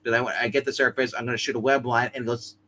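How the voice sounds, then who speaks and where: A man's voice: mid-range voice, fast talker, slight background noise. A man playing in a tabletop roleplay, chatting casually and jokily and throwing in his own sound effects. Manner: animated, jokey, adds vocal sound effects. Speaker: player in a tabletop roleplay game. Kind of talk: casual joking game chat.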